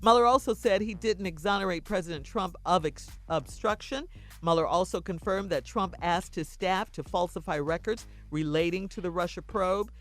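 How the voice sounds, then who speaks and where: A woman's voice: on the low side, moderate pace. An American woman co-hosting a radio show, moving between reading news and chatty banter.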